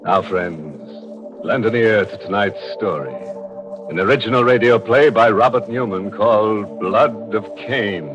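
A man's voice: on the low side, a little slow. A man hosting and narrating an old radio mystery show in a theatrical, tongue-in-cheek macabre manner. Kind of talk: 1940s radio horror hosting, scripted narration. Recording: dull lo-fi 1940s radio recording. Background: music.

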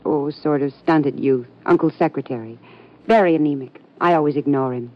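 A woman voicing a cool, sardonic young niece with a very low, dry delivery. This is a muffled vintage radio drama recording. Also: normal speed, close to an everyday voice.

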